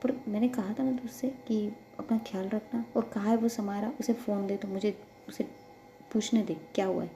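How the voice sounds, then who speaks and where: A caring elder sister who fusses over her younger sibling, scolding her gently but always with affection, as a woman storyteller voices her. The voice is dark and mellow, with a gravelly edge. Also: mid-range voice, steady tone, normal speed, slight background noise, natural voice. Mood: worried. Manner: fussy, scolding yet affectionate.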